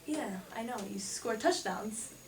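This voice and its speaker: A woman's voice: fairly high pitch, lively intonation, measured pace. A woman playing a flighty, flirtatious, slangy 1920s news anchor in an amateur mock newscast. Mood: gushing, scatterbrained. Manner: theatrical, slangy. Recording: echoey room, distant mic, faint noise.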